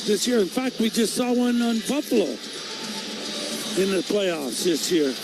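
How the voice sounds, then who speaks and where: A man's voice: high-pitched, expressive, slow pace, music in the background. A man giving conversational, folksy color commentary on a hockey game.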